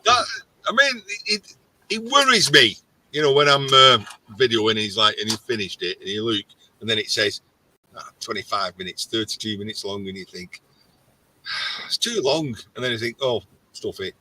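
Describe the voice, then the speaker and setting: A man telling stories in a relaxed, self-deprecating way during a live group call. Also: fairly low pitch, normal speed, a little hiss or hum, rough recording. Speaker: live chat participant. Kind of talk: casual group chat.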